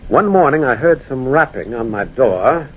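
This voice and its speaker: An American actor playing a sober, skeptical railroad man in an old-time radio ghost drama, measured, grave and dramatic. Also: medium pitch, expressive, narrow, tinny audio, lightly acted. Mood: somber, ominous. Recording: thin, noisy vintage radio recording.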